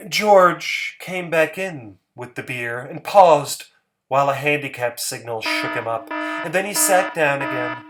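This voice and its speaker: A man giving a dramatic, scripted story reading as narrator, measured and emphatic. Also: mid-range voice, lively intonation, fairly bright tone. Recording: music bed under the voice.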